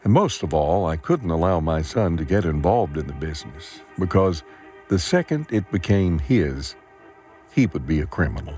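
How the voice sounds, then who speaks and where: An actor playing a weary, regretful old farmer and trapped father, telling his story gravely in an audio drama. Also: deep voice, expressive, normal speed, warm tone. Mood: burdened, regretful. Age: older. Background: music.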